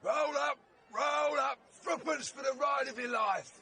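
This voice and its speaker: Menacing fairground thug. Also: male voice, extremely high pitch, a little slow, bright, forceful tone, exaggerated voice. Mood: hawking loudly. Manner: taunting, rough colloquial speech. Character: menacing, vicious-tempered fairground ride operator, bitter husband. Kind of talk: vintage radio play acting.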